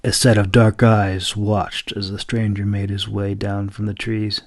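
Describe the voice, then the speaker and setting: A man narrating a fantasy audiobook in a dramatic, expressive, deliberate storytelling style. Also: fairly low pitch, no echo.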